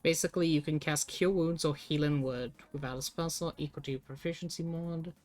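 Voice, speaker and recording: A woman's voice: low-pitched, fairly even intonation, fairly quick, darkish tone, soft background music. A British woman streaming as she writes tabletop game rules, thinking aloud in a hesitant, self-mocking way while she works out the wording. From United Kingdom.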